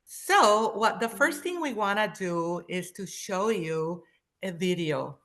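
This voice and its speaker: A woman's voice: mid-range voice, animated, takes it slowly, a bit bright. A woman from Panama, presenting a webinar as a linguist in an enthusiastic, welcoming way over a video call.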